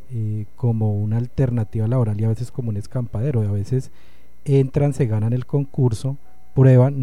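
A man with a Colombian accent hosting a conversational interview, measured and wordy as he gives his opinions. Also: fairly low pitch, warm tone. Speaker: interview host.

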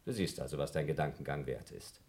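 Blob, a cold, accusing younger rival. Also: male voice, a bass voice, animated, fast, gravelly, a little mellow, heavily acted. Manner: coldly logical, accusing, growing frantic. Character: cold, suspicious younger man trapped with his rival. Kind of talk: broadcast radio play acting.

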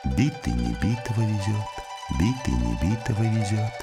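A man's voice: fairly low pitch, sing-song, normal speed, over music. A man voicing a sly female fox, a sweet-talking trickster in a children's folk tale. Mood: sly muttering. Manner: coaxing, singsong.

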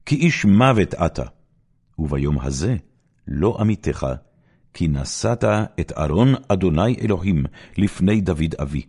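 A man with an Israeli accent voicing a stern, commanding young king of ancient Israel in a solemn, forceful scripture reading. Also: low voice, slightly rough, full character voice.

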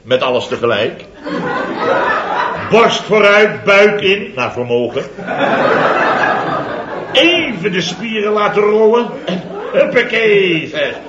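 An actor playing a boastful middle-aged contractor, telling a comic story in a theatrical, chatty way for a 1970s radio comedy serial. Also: male voice, sits high, animated, slow pace, pauses often, reverberant, highs cut off, very noisy.

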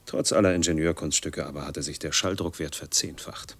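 A man's voice: very deep voice, steady tone, brisk, fairly bright tone, breathy edge, full character voice. An actor playing an embittered, reclusive man in his forties in a radio drama monologue, speaking in brooding, clipped fragments that sink into murmurs. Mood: bitter, resolute. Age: 40s.

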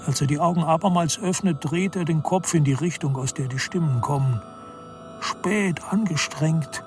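A man's voice: fairly high pitch, normal speed, over music. A man delivering scripted literary narration for a radio drama.

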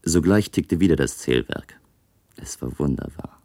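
An actor playing a wistful, stubborn male inventor who narrates a radio play in a measured, reflective way. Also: a bass voice, gravelly, dark tone, heavily acted. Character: male inventor, wistful, stubborn, recounting his bold project. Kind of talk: German radio play acting.

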